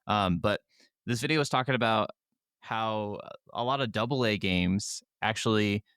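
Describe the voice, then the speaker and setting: Low-voiced man chatting on a podcast. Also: normal speed, fairly bright tone, clean tone, no echo, clean studio sound. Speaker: podcast co-host. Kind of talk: casual chat.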